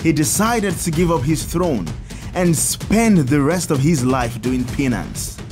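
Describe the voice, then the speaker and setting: Kindly priest for audio drama: instructive storyteller, animated melodic range, music behind. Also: male voice, on the high side, measured pace. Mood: earnest, explanatory. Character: kindly priest, Father Tad, teaching children about saints. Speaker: priest character telling saints' stories.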